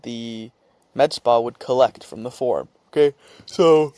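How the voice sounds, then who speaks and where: Man giving an informal, halting software tutorial. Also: mid-range voice, no echo. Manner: halting, thinking aloud while typing and reading out script. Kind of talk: informal screen-share tutorial.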